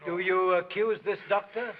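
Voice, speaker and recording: A man's voice: high voice, steady tone, moderate pace, lightly acted. An actor playing a skeptical male police prefect who questions witnesses curtly. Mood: stern. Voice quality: curt, skeptical. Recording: muffled 1930s film soundtrack.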